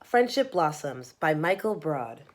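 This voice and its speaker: A woman reading a children's storybook aloud as narrator, in an expressive storytelling manner. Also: deep voice, measured pace, a little hiss or hum. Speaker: storybook reader.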